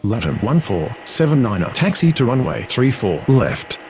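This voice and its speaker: A man's voice: medium pitch, big pitch swings, normal speed, a little mellow. A man playing a businesslike pilot, giving scripted airport radio calls. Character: businesslike male pilot requesting airport clearances. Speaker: air traffic radio voice. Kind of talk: scripted aviation radio phraseology. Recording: clear vehicle-like ambient noise.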